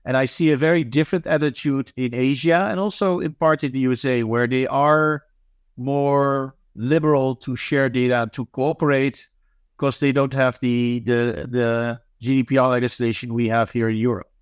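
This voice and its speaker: Man, resonant clear voice, halting interview answers, fillers and restarts. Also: medium pitch, fairly even intonation, dry recording, clean studio sound. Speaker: guest, managing director of an anti-scam nonprofit. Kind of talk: interview answers, thoughtful and hesitant.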